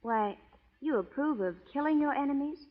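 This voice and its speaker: An actress playing a spirited, independent young frontier schoolteacher in an expressive old radio drama style. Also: female voice, high voice, moderate pace. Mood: teasing, indignant. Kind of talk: 1930s-40s radio drama acting. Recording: muffled old radio recording.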